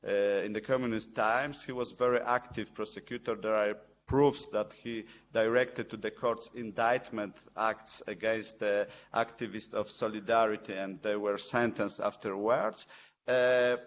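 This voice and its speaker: A man's voice: fairly low pitch, steady tone, unhurried, dull audio. A man whose first language is Polish, a judge speaking English on a panel, hesitant with many fillers and restarts, indignant about his subject.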